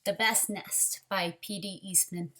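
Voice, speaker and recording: A woman teacher leading a read-aloud storytime for young children, speaking deliberately and directly to them. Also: mid-range voice, fairly even intonation, background noise.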